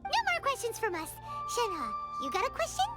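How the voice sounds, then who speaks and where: Tiny excitable fairy companion for a game: extremely high childlike voice, very animated, chatty. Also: female voice, normal speed, faint music behind, heavily acted. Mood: eager. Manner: speaks of herself in the third person, exclamatory. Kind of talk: video game voice acting.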